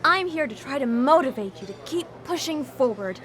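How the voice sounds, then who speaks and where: A woman's voice: high-pitched, lively intonation, normal speed. A woman playing an earnest, admonishing guardian angel in a radio drama. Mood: chiding, encouraging. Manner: preachy, admonishing. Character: earnest female guardian angel, gently chiding mentor.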